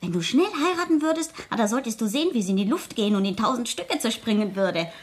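Radio drama casting: actress for outspoken teenage girl, lightish high voice, lively intonation, pert and girlish. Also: quick pace, slight background noise, natural voice. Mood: frank, eager. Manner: pert, teasing, girlishly blunt. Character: cheeky, lively, outspoken fifteen-year-old Parisian schoolgirl. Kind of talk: 1970s German radio play acting.

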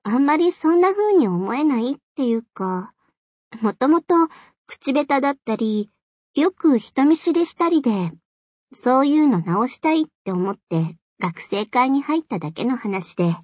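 A woman voicing a shy, earnest and flustered high school girl in a Japanese game, speaking politely, modestly and hesitantly with stammers. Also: big pitch swings, measured pace, darkish tone, no room sound, clean studio sound, full character voice. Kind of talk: Japanese game character voice acting.

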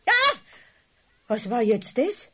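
Chatty bavarian lady customer, nosy and cheerful, lively sing-song pitch, radio play. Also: slow, background noise, heavily acted. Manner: chatty and insistent, laughing a lot. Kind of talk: 1970s radio play acting.

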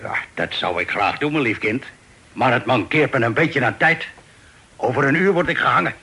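A husky, pious sea captain. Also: male voice, medium pitch, animated, bright, forceful tone, full character voice. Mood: gentle, resigned. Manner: folksy, wry, unhurried storytelling. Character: captured Dutch sea captain, pious, wry, stubborn family man. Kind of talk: Dutch radio drama acting.

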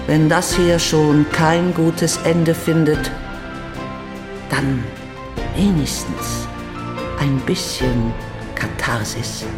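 An actress playing an outraged, idealistic young journalist making a theatrical, impassioned plea in a radio play. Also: female voice, fairly low pitch. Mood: pleading. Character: outraged young journalist woman, passionate idealist pleading to a courtroom. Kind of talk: German radio play monologue. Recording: reverberant hall, music underneath. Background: music.

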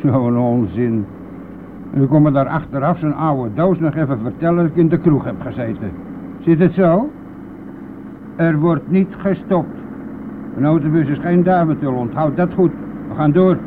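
An actor playing a brash, defensive bus driver in a Dutch radio play, blustering and scoffing in colloquial speech. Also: male voice, fairly high pitch, normal speed, lightly acted. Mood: scoffing, irritated. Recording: tinny band-limited old radio recording, noisy.

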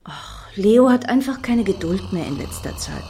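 An actress playing a scheming, grandly solemn woman in a satirical radio play, speaking in deliberate, lofty phrases. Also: medium pitch, expressive, mellow, slightly rough. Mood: brooding.

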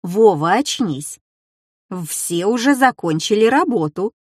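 Woman playing a composed, animated female schoolteacher. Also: mid-range voice, takes it slowly, husky, dry recording, studio quality. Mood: firm.

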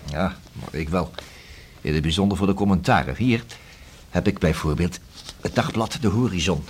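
Low, husky, sardonic police detective. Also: male voice, normal speed. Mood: insistent. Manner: formal, sardonic, unflappable. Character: persistent, ironic male police detective. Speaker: radio drama actor. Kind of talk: radio play acting.

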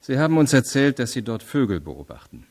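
An actor playing a formal, persistent state prosecutor in a radio play, polite but pressing. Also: male voice, mid-range voice, big pitch swings, a little fast, darkish tone.

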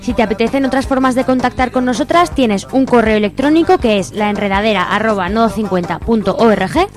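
A woman with a Spanish accent from Spain co-hosts live community radio. Her voice sits a little high as she presents at the studio microphone, with music behind her. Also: brisk. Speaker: radio co-host. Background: music playing behind.

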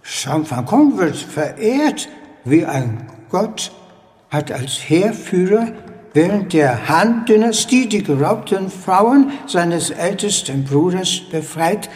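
An actor playing a reverent, wary old Chinese man who keeps a shrine in a radio drama, speaking in halting phrases. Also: high voice, animated, a little mellow. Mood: reverent, hesitant. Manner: halting, hesitant short phrases. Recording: long hall reverb, faint noise.

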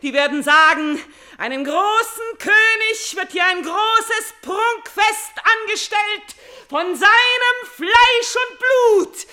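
An actress playing a bitter, hate-consumed princess in a classical radio tragedy, scornful and declamatory, moving between hushed menace and loud cries. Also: female voice, extremely high pitch, takes it slowly, heavily acted. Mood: exalted, frenzied. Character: bitter, hate-consumed princess obsessed with avenging her father. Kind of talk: classical German stage-tragedy radio acting.

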